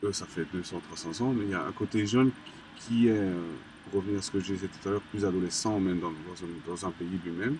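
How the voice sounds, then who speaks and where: A young man from Colombia, an interviewee, talking casually in halting phrases full of restarts and fillers. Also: sits low, a little mellow. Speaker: graffiti artist, interviewee. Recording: distant mic with background noise.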